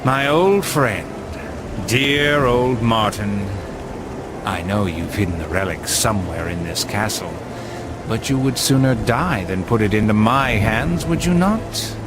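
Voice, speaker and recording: A man playing a cold, commanding medieval lord in a video game, speaking in an imperious, deliberate way. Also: fairly low pitch, animated, background noise. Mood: menacing. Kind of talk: video game cutscene acting.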